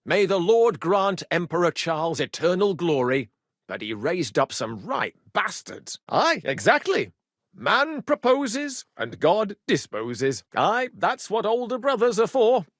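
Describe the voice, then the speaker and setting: An actor playing a cheeky, good-humored medieval rogue and loyal younger brother in a video game, with colloquial, irreverent banter. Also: male voice, sits high, big pitch swings, a little slow, dry recording, clean studio sound, full character voice. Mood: teasing, animated. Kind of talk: video game dialogue acting.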